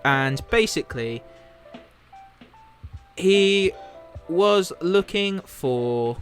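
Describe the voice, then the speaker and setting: A man's voice: high voice, lively intonation, drawn-out words, soft background music. A man from the UK co-hosting a casual podcast, chatty and laughing often, his sentences full of "like". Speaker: podcast co-host. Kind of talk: casual chat about tabletop games.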